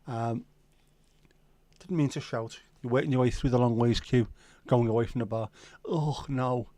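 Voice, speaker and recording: A man's voice: mid-range voice, moderate pace, mellow, no room sound. Sweary Scouse man chatting on a livestream. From Liverpool, England. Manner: banter-filled, sweary, jokey. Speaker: livestream host. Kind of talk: casual livestream chat reading viewer comments.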